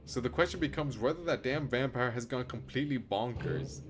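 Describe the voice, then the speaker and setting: A man's voice: mid-range voice, brisk, faint music behind. A man streaming a game, reading its story aloud theatrically and reacting casually, with frequent swearing. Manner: theatrical, expressive, swears freely. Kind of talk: dramatic read-aloud mixed with casual live reactions.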